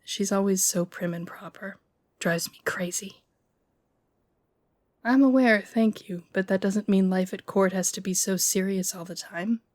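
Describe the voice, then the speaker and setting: A woman plays a young lady-in-waiting in a romantic fantasy audio drama. She is gossipy and blunt, teasing in an informal way and quick to take offence. Her voice sits at a middle pitch in a dry recording. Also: steady tone, darkish tone, dry recording, close to an everyday voice. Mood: playful gossip. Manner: informal, teasing, openly indignant.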